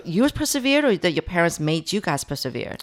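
A middle-aged woman with a low, grounded voice hosts an interview show. She talks in a loose, chatty way, nudging her guest along with friendly questions and little fillers. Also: sing-song, fairly quick. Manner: chatty, encouraging, frequent fillers. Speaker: radio show host. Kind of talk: conversational interview.

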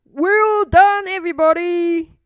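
Woman as a kind, slow-talking storybook elephant. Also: very high-pitched, expressive, dry recording, studio quality, pitched above the performer's own voice, heavily acted. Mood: pleased. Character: kind, firm male elephant, storybook hero.

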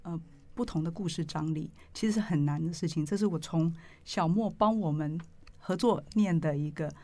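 A woman's voice: deep voice, moderate pace, mellow. A woman hosting an interview in a conversational style. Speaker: interview host.

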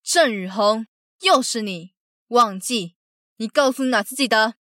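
A stern, scolding teacher from a radio play, acted by a woman. Her voice is somewhat high, with a curt commanding edge, recorded dry. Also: expressive, measured pace, fairly bright tone, dry recording. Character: strict, scolding schoolteacher. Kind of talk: radio drama acting.

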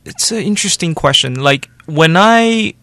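A man from Taiwan in his forties, an animation studio director, giving steady, explanatory answers in an interview. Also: fairly high pitch, normal speed, no room sound. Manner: explanatory, steady, loose with "like" and "you know" fillers.

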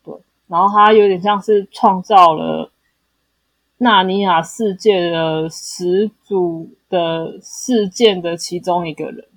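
A woman's voice: lowish voice, steady tone, takes it slowly, dry recording. A woman with a Taiwanese Mandarin accent co-hosting a casual book discussion, explaining things hesitantly and often correcting herself. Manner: hesitant, self-correcting explanations with many repeated affirmations. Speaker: podcast co-host.

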